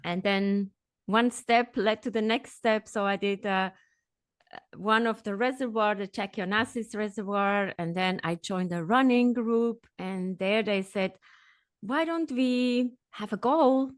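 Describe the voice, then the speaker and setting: A middle-aged woman who grew up in Switzerland tells her story as a podcast guest. She talks in an upbeat, animated way at a medium pitch. The sound is dry and close. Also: measured pace, smooth, no echo. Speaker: podcast guest, executive coach. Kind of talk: conversational interview.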